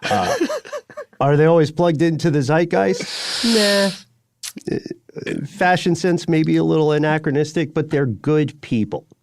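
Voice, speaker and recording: A man's voice: on the high side, unhurried, gravelly, no room sound. A man co-hosting a podcast in casual, bantering chat, with a wry, playful and rambling way of talking. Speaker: podcast co-host. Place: remote recording, dry close sound.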